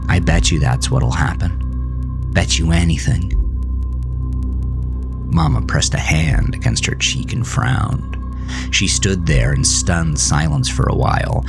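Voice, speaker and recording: A man narrating a horror story in the first person, with a somber, deliberate, dramatic delivery. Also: a bass voice, gravelly. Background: music.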